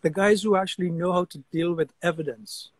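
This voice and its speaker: Older man in his sixties, fairly high voice, dry close sound, animated storyteller on a video call. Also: fairly quick, dry recording. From Guyana. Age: 60s. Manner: animated storyteller, frequent repeated words. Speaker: guest artist. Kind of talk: casual video-call conversation. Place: outdoor deck among trees. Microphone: video call webcam.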